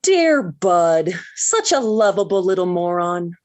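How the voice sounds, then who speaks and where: Gushing, swooping American teenage daughter. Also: female voice, on the low side, sing-song, slow, darkish tone. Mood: haughty. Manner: gushing, theatrical, airy. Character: dreamy, melodramatic, lovesick teenage daughter. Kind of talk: 1940s radio sitcom acting. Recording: vintage 1940s radio recording.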